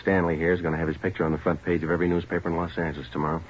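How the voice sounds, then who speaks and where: An actor playing a terse, matter-of-fact detective sergeant in a 1940s radio crime drama, with a clipped, deadpan delivery. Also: male voice, low voice, very fast, natural voice. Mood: stern. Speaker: narrator and lead actor. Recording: dull, noisy old radio recording.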